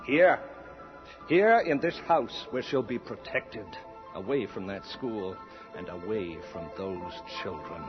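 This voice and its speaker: A stern zealot of a father in an old radio drama. He preaches and domineers with vehement, sweeping swings of pitch. The recording is muffled, with music underneath. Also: expressive, slow pace. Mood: righteous anger. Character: stern fanatically religious father, harsh and domineering.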